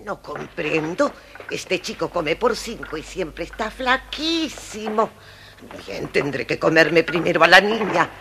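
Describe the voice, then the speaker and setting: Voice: mid-range voice, lively intonation, a little slow, gravelly, music in the background. An actor voicing a wicked, bossy old witch who eats children, with a scolding, theatrical delivery for a children's storybook record. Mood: grumbling, scheming.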